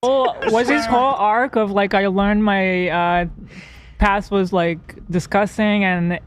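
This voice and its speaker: High-voiced hesitant man. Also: fairly even intonation, a little slow, smooth. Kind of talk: casual chat.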